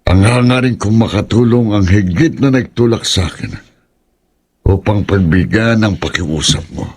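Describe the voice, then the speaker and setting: A man acting an earnest, principled older ritualist in a horror radio drama, speaking in a husky, pleading manner. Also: on the low side, normal speed, a little mellow. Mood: reproachful.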